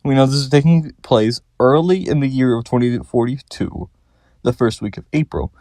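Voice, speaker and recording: A man's voice: mid-range voice, moderate pace, a little mellow, no room sound, studio quality. A man giving scripted commentary as the host of a theory video.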